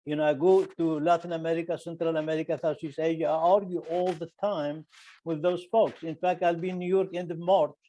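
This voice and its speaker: A man gives earnest advice at a conference. His voice is mellow and a little higher than most men's, and he sometimes stops to hunt for a word. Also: flat delivery, a little fast, dull audio, a little hiss or hum. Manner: earnest advice, searching for words. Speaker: surgeon and mentor. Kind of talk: audience remarks at a conference.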